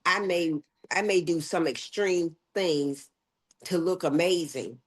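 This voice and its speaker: A woman's voice: fairly low pitch, slow, slightly rough. An older woman, a health educator, speaking emphatically as an interview guest. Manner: emphatic, acts out quoted voices. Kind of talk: conversational interview.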